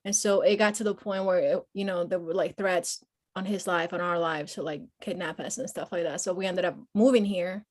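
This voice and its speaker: A woman's voice: fairly low pitch, fairly even intonation, fairly quick, a little mellow. Peru-born woman veteran, hesitant speech. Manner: hesitant, many fillers, speaks openly and personally. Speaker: guest, Marine veteran and nonprofit founder. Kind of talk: conversational podcast interview.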